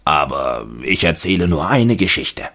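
A man with a very deep, husky voice voicing a small, plump, friendly male storyteller in a storybook reading. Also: very animated, normal speed, pushed and bright, exaggerated voice. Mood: cozy. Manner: cozy, storytelling.

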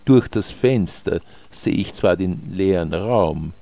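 Slow, low-voiced man reading. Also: creaky, mellow. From Austria. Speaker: author reading own short texts. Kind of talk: scripted literary narration.

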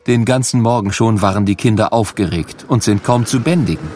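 A man reading a scripted children's story, animated and clearly enunciated for young listeners. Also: fairly low pitch, fairly quick.

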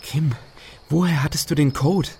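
Commanding teen played by a woman, extremely low, long reverb. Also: normal speed, darkish tone, slight background noise. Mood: brisk. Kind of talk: radio play acting. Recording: echoey reverberant room.

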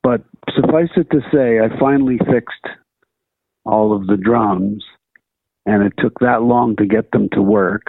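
A man near seventy talks easily as an interview guest, relaxed and self-deprecating with frequent laughs. His voice comes through a narrow, tinny phone line. The sound is dry. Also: lowish voice, unhurried, creaky, no echo. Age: older. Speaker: guest guitarist. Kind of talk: casual interview chat.

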